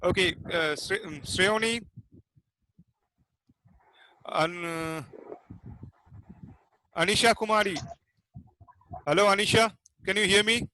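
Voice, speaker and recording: A man's voice: sits high, slow pace, long pauses, pushed and bright, dry recording. A man teaching an online class, asking questions and then answering them himself. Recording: noisy online class audio.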